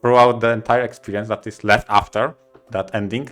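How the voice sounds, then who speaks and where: A man co-hosting a podcast in casual chat, with a halting, hesitant way of talking. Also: fairly low pitch, flat delivery, fairly bright tone, soft background music. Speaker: podcast co-host.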